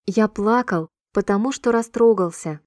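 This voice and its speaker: A woman reading short sentences slowly and clearly for a language-listening drill, repeating each one in a measured, even way. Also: medium pitch, dry recording, clean studio sound. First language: Russian. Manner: clear, measured, evenly paced repetition. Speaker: Russian sentence reader.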